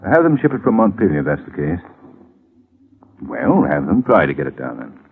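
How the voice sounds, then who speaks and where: Glib lawyer, middle-aged: low register, animated inflection, evasive and brisk, 1950s radio acting, faint hiss. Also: male voice. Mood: brisk, affable. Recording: muffled, hissy vintage radio recording.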